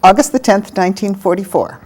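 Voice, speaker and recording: A woman in her sixties who grew up in Alabama, answering oral history questions in a thoughtful, measured way with pauses. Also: mid-range voice, a little mellow. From Alabama, American South. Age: 60s. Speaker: interviewee, lawyer.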